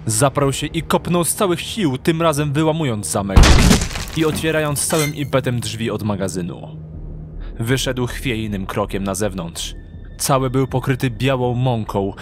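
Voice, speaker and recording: A man narrating a dramatic audio drama from a script. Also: medium pitch. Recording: clear music behind the narration.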